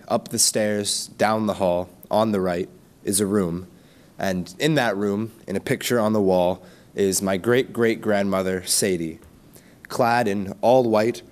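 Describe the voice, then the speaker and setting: A teenage boy reciting a narrative poem as a student at a podium microphone. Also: fairly low pitch. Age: teens. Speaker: student poet. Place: school stage at a podium. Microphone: gooseneck podium microphone.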